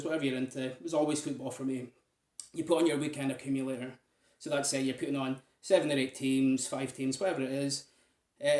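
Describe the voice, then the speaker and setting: A man's voice: mid-range voice, a little mellow, echoey room. A Scottish man from Aberdeen talking casually to his viewers, rambling with lots of fillers. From Aberdeen, Scotland. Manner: chatty, rambling, many fillers. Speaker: vlogger. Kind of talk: casual monologue.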